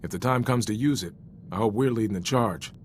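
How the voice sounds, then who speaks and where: A man's voice: fairly low pitch, fairly quick, a little hiss or hum, close to an everyday voice. A man playing an earnest, loyal Republic soldier in a video game, speaking frankly in an informal, sincere military manner. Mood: reflective, resolute. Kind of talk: cinematic video game voice acting.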